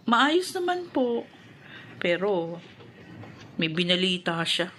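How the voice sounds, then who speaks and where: Earnest, polite elder daughter. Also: female voice, lowish voice, very animated, slow, fairly bright tone, a little hiss or hum, heavily acted. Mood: earnest, hurt. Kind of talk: Filipino radio drama acting.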